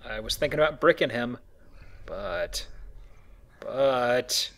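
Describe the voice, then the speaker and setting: A man's voice: medium pitch, lively intonation, takes it slowly, fairly bright tone. A man hosting a let's play, commenting casually on the game with dry jokes and wordplay.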